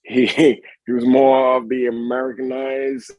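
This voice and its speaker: A man's voice: mid-range voice, flat delivery, unhurried, a little mellow, dry recording, rough recording. Puerto Rican man, rambling interview talk. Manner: rambling, many restarts and fillers. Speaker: pro wrestler guest. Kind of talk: casual interview.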